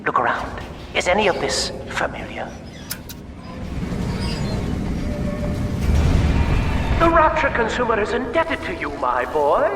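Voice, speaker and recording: A man's voice: high voice, huge pitch swings, normal speed, roomy sound, big-room sound, distant mic, noisy background. An actor playing an unhinged, mutated company boss in a video game, a pompous showman taunting an intruder with theatrical menace. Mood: sly menace. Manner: pompous showman, swooping between purring menace and shrieks.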